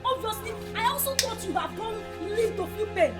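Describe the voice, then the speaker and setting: A woman's voice: very high-pitched, animated, moderate pace, loud music behind the voice. A woman playing a feisty quarrelsome Nigerian shopper. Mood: scolding. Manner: loud, combative, scolding. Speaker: skit actress. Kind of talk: comedy skit acting.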